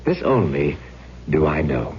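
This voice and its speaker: An actor playing a brooding, possessive male ghost in a radio drama, theatrical and halting with intense dramatic pauses. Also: rumbling low, big pitch swings, full character voice. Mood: solemn, philosophical. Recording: dull, noisy old radio broadcast.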